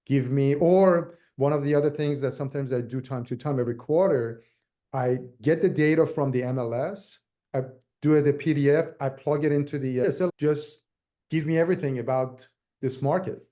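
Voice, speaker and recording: Mellow-voiced man with a Persian accent. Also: a little high, moderate pace. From Iran. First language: Farsi. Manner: loose, run-on sentences with restarts. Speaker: guest realtor. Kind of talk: casual interview chat.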